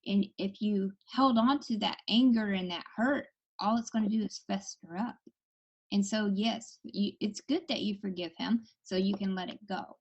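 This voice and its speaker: A woman reads cards for callers and talks them through their troubles in a relaxed, chatty way. Her voice sits at an ordinary pitch. The recording is a bit dull and lo-fi. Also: moderate pace, husky. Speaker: tarot reader hosting a call-in show. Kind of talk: casual advice chat.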